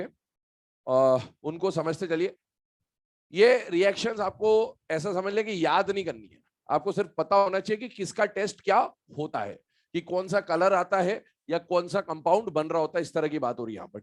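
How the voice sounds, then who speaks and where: High-pitched man teaching. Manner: explanatory, addresses students familiarly, checks in often. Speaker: chemistry teacher. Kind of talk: informal classroom-style lecture.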